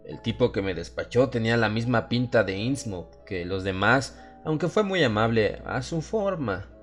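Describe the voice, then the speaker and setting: A man with a fairly low voice reads a horror tale as an audiobook narrator. His delivery is measured, literary and dramatic. Faint music plays underneath him.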